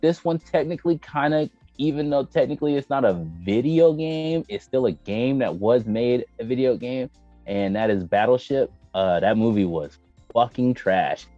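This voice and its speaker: An American man co-hosting a casual chat, slangy and profane, breaking into exclamations and halting as he gathers his opinions. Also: medium pitch, mellow. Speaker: podcast co-host. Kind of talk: casual banter about movies and anime. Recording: roomy, dull microphone sound with music behind.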